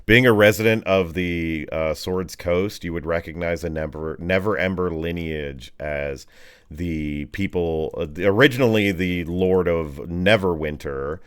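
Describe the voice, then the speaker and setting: A man voicing a boastful, sensual nobleman who rambles in a drawn-out, folksy way during a tabletop game. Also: deep voice, smooth. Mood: smug, halting. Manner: drawn-out, folksy, self-satisfied storytelling.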